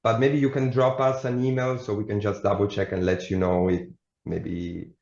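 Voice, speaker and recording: A man's voice: fairly low pitch, little pitch movement, normal speed, darkish tone. Man presenting admissions info. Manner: hesitant, many fillers. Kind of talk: online information session. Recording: echoey room, dull lo-fi sound.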